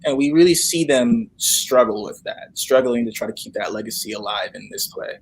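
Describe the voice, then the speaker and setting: A man's voice: medium pitch, moderate pace, rough recording. A young man chatting casually as an interview guest, often repeating himself for emphasis. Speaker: guest, actor.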